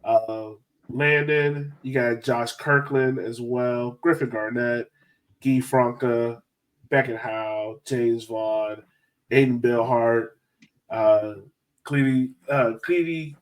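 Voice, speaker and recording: A man's voice: mid-range voice, fairly even intonation, takes it slowly, poor audio. A man hosting a sports fan podcast, chatting informally and enthusiastically. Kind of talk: casual panel chat.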